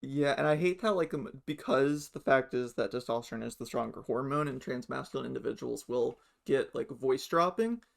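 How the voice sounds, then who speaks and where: Frank young adult host, gravelly voice. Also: mid-range voice, normal speed, hardly any pauses. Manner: frank, swears casually. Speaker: podcast host. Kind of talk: casual podcast chat.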